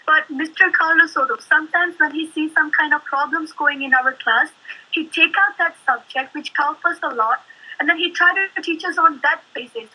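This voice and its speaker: High, bright-voiced eager student. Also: female voice, low-quality recording. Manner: eager, fervent. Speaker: student guest.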